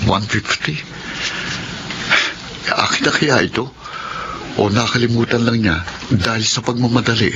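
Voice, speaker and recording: A man's voice: mid-range voice, a little slow, long pauses. A man narrating and playing a polite, humble young tricycle driver who supports his siblings. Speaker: narrator and lead actor. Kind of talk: 1970s-style radio drama acting. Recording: dull lo-fi radio recording with music.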